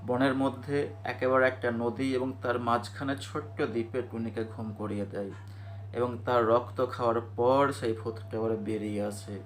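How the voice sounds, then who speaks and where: A man with a medium-pitched voice narrates a scripted ghost story for a cartoon. He speaks as a storyteller, with clear noise and ambience behind his voice.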